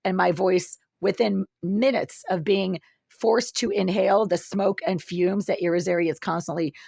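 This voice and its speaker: A woman talking alone to camera in her apartment, in an intense, accusing monologue of long run-on sentences, with a raspy voice. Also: medium pitch, fairly even intonation, moderate pace, dark tone, no echo, clean studio sound. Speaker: vlogger. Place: her apartment, sitting in the dark.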